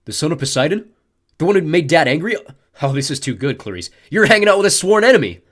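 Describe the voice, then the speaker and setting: A man's voice: medium pitch, big pitch swings, moderate pace, voice pushed up. A man voicing a sneering teenage god of fear who taunts and mocks. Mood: taunting, smug. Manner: taunting, mocking, smug. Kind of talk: audiobook character voice.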